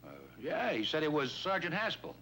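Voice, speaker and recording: Folksy shopkeeper with swooping intonation. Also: male voice, on the high side, very animated, fairly quick, sharp tone, full character voice. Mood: earnest, rueful. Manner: hesitant, plain-spoken, folksy. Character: wary, folksy male shopkeeper, robbery victim. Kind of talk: 1950s police drama acting. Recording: noisy old 1950s film soundtrack.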